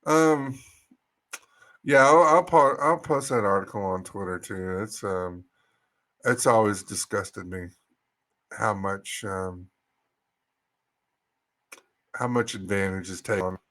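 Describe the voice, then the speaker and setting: A man's voice: deep voice, slow, long pauses, bright, forceful tone. A man hosting a live podcast, talking in an informal, emphatic and exasperated way as he shares articles and opinions. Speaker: podcast host.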